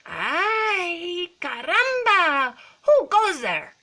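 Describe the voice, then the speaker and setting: A woman voicing an excitable, boastful boy kitten in a theatrical mock Spanish accent for a storybook. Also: very high-pitched, very slow, voice pushed up, exaggerated voice. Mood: bold. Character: excitable small boy kitten who fancies himself a sword-fighting Chihuahua.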